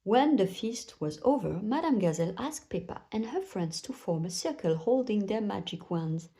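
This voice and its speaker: A woman's voice: mid-range voice, big pitch swings, moderate pace, warm tone. A woman narrating a children's bedtime story aloud, telling it expressively for young listeners.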